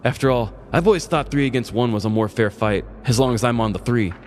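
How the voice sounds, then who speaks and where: A man's voice: medium pitch, quick pace, a little mellow. A man, a podcast host, narrating a scripted comic story in a wry, dramatic storytelling manner.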